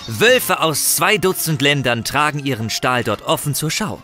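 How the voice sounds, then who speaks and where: A man's voice: fairly high pitch, very animated, moderate pace, pushed and bright, soft background music. A man narrating a fantasy audio drama in a theatrical, conversational storytelling style with musing asides.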